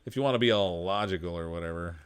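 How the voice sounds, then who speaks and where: A man's voice: low-pitched, quick pace, smooth. A man streaming a game live, chatting casually, laughing often and answering his viewers as he plays. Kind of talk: casual live gameplay commentary.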